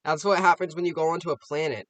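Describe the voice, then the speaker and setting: A man's voice: fairly high pitch, steady tone, quick pace, fairly bright tone, dry recording, poor audio. A man giving casual, off-the-cuff reaction commentary, musing aloud and posing rhetorical questions. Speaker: reaction video host.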